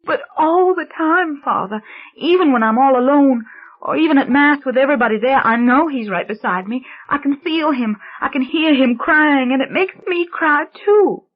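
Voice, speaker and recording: A frightened little girl pours out a secret in a desperate, sobbing rush, as a woman reads her part. Her voice rides high above the reader's own and cracks with tears. The recording sounds dull and muffled. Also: lightly acted. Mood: anguished. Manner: anguished rushing pleading with sobs. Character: frightened tearful young girl confessing.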